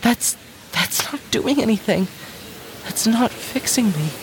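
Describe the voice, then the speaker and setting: A woman playing an anxious, distraught young patient in an audio drama, halting and pleading. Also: a little high, lively intonation, noisy background. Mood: distressed, pleading. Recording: loud music under the voice. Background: music.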